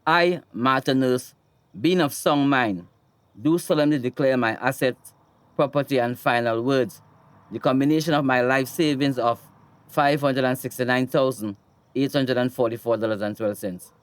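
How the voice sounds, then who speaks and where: An actor playing a calm, formal attorney in a radio drama, speaking. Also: male voice, mid-range voice, clear, resonant tone, no room sound, natural voice. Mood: solemn. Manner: measured, formal. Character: Samson Straker, calm, formal male attorney.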